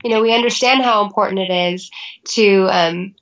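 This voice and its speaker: A woman's voice: mid-range voice, moderate pace, a bit bright, dull audio. A woman guest on a podcast, talking in an earnest, rambling casual way. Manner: earnest, rambling with many likes. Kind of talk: casual chat.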